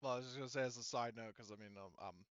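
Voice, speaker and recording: A man's voice: mid-range voice, racing, no room sound, dull audio. A man running a tabletop roleplaying game as game master, explaining things casually.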